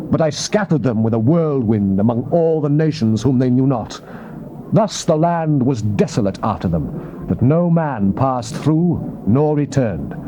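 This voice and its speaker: An actor playing a solemn Old Testament prophet in a dramatized scripture reading, proclaiming God's word in a declamatory, theatrical manner. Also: male voice, mid-range voice. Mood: stern, solemn. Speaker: Bible narrator. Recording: noisy old cassette tape transfer.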